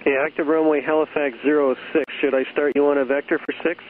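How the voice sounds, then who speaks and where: A man's voice: fairly high pitch, flat delivery, fast. A man working as an air traffic controller, giving matter-of-fact procedural instructions over the radio. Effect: radio transmission. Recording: tinny archived radio with light static.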